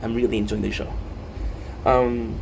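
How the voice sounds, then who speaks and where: French canadian guy rambling while he games, quebec accent, lowish voice, noisy room. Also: level tone, normal speed, vocal fry. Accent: Québécois French. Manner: rambling, many restarts and fillers. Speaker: gaming streamer. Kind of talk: casual rambling talk while gaming.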